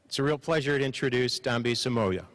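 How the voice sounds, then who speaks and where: A man's voice: mid-range voice, very noisy. Man moderating in a reverberant hall. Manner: measured, slows for emphasis, frequent uh fillers. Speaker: panel moderator. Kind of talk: formal introduction with light humor.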